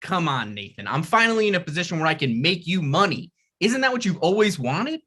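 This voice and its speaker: Brief: male actor as an insecure young writer, husky voice, lively and pleading. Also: fairly high pitch, a little fast, full character voice. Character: needy, self-deprecating male screenwriter, young adult. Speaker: actor reading the lead at a table read. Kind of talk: comedic table read.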